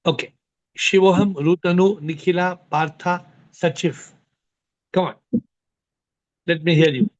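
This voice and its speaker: Male host, slightly high, tired voice, lively and chatty, leading a group video call; muffled call audio. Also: a little slow. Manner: lively, greets many participants by name. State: tired voice after long speaking. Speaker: host of online spiritual session.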